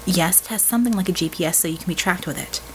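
A woman voicing an earnest, quick-witted young female hacker-mage in an audiobook, explaining things assertively with dry wit. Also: on the low side, a little hiss or hum, close to an everyday voice, low-quality recording. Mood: brisk, explanatory. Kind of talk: audiobook character dialogue.

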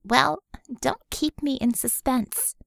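A woman voicing a sarcastic female medic, quick, wry and clinical. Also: fairly high pitch, animated, dry recording, voice pushed up. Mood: brisk.

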